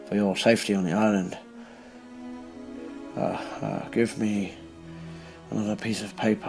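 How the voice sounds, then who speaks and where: An actor playing a frail, earnest, stubborn old businessman who speaks haltingly and pleadingly in a radio play. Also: lowish voice. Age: older.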